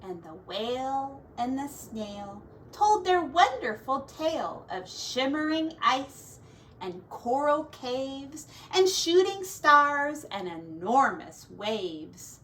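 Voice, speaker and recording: Slow, animated woman reading to children. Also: high-pitched. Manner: sing-song, dramatic, with pointing-out asides to children. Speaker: teacher reading aloud. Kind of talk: expressive picture-book read-aloud for children. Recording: distant mic, faint background noise.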